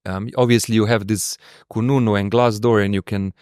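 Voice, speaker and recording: A man from Slovenia chats as a guest on an interview podcast, pausing often and restarting his sentences. His voice sits low and sounds smooth and resonant. The sound is dry and close. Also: fairly even intonation, darkish tone, dry recording. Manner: hesitant, many fillers and restarts. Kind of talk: casual interview chat.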